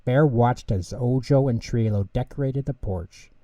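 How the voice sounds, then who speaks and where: A man's voice: mid-range voice, measured pace, mellow, dull audio. A man reading a children's bedtime story as scripted narration, recorded in an echoey room at some distance from the mic.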